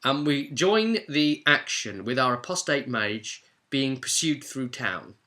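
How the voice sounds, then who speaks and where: Bright, forceful man telling a game story. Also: medium pitch, very animated, slow. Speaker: host and game master recapping a role-play session. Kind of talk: animated storytelling recap to viewers.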